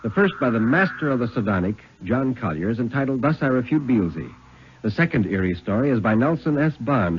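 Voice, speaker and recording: A man's voice: lowish voice, slight background noise. A man presenting upcoming stories in a scripted 1950s radio announcing style. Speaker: story reader and presenter on radio show. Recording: dull vintage radio recording.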